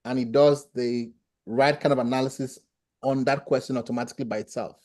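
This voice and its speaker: A man's voice: medium pitch, steady tone, brisk, a little mellow. A man with a Nigerian accent, a professor explaining things plainly and answering questions in an online class over a video call. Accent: Nigerian, with a slight Ghanaian tinge. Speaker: professor teaching a webinar class.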